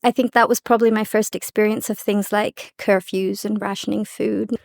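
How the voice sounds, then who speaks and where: A woman's voice: mid-range voice, flat delivery, moderate pace, vocal fry. A woman from Thailand, deputy director of a human rights group, answering candidly and thoughtfully. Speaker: deputy executive director of an NGO. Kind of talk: interview answers.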